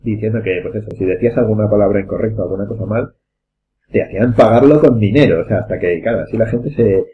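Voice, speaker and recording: Low-voiced Spanish man, hesitant talk. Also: quick pace. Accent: Spanish from Spain. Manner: hesitant, many restarts and trailing phrases. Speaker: podcast host. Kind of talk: casual chat. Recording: dull lo-fi sound with faint hum.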